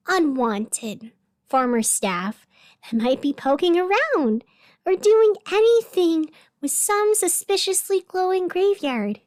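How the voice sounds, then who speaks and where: An actress playing an anxious radio host in a scripted fictional broadcast, deliberate and emphatic with strained cheerfulness. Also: female voice, very high-pitched, dry recording, studio quality, heavily acted. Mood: nervous denial. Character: anxious woman radio host insisting everything is fine.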